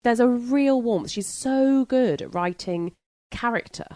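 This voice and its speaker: A woman's voice: fairly high pitch, lively intonation, unhurried, dark tone, dry recording. A woman guest on a book podcast, chatting informally and with enthusiasm about what she reads. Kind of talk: casual book chat.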